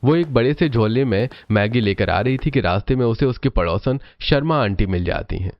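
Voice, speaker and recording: A man's voice: medium pitch, animated, normal speed, muffled recording. A man reading scripted storybook narration for a cartoon.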